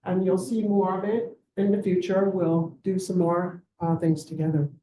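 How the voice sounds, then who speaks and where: A woman's voice: lowish voice, flat delivery, background noise. Reassuring woman heard from across a roomy hall. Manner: reassuring, halting, with many pauses. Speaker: community association representative. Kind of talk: informal remarks to a live audience. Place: community meeting room. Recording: roomy room sound from a distance.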